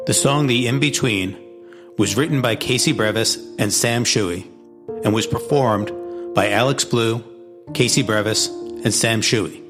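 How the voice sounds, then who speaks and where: A man reading scripted closing credits as an announcer, in a measured narration style. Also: on the low side. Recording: guitar music under the voice. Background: plucked guitar music.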